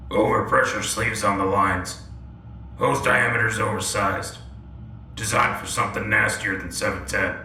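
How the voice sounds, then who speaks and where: A man performs a gruff, wary soldier from a sci-fi radio drama. His lowish, gravelly voice comes in terse, clipped phrases, set back from the mic. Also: steady tone, moderate pace, sharp tone, slight background noise. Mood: suspicious. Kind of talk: sci-fi audio drama acting. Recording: distant, echoing, music underneath.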